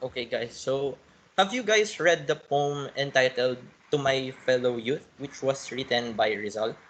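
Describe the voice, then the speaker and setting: A man's voice: mid-range voice, measured pace, fairly bright tone. A man with a Filipino accent moderating a student focus group discussion, reading prompts and answering with short okays. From Philippines. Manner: reads prompts, short okays between speakers. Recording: noisy recording.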